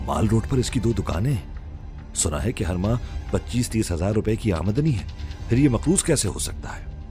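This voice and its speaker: A man voicing a sharp-tongued, proud young woman with a childlike streak, speaking in a taunting, indignant manner. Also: on the low side, big pitch swings, moderate pace, soft background music, pitched above the performer's own voice. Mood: doubtful.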